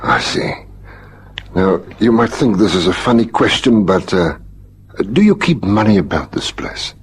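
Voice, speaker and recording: A methodical police detective in an old radio play. His voice is lowish and lively in pitch as he puts courteous, hesitant questions, with faint noise on the recording. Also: unhurried. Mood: polite, probing. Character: methodical male police lieutenant, polite, probing investigator. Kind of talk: vintage radio drama acting.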